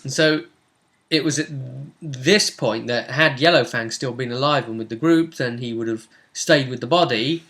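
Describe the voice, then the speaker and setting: A man's voice: mid-range voice, moderate pace, a bit bright. A man casually recounting a tabletop role-playing session as its game master.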